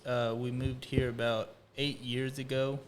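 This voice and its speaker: A man from Costa Rica with an average-pitched voice. He chats casually as a guest, sounding modest and slightly halting as he describes his business. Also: level tone. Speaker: shed company co-owner, interview guest. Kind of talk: casual chat.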